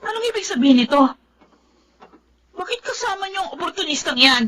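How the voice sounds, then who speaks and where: High-voiced, anguished, defiant young daughter. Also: female voice, very animated, brisk, sharp tone, a little hiss or hum, heavily acted. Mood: outraged. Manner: sarcastic, tearful, code-switches into English. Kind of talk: melodramatic radio drama acting.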